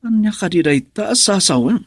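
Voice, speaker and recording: A man's voice: high voice, animated, moderate pace, no echo, studio quality. A man acting a defensive, troubled husband in an emotive Ilocano radio drama. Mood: defensive, pleading.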